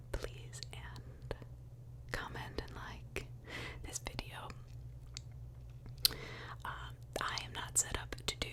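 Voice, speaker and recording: A woman speaking in a hushed, breathy whisper, telling true crime ASMR style in an informal, emphatic way from inside a small closet.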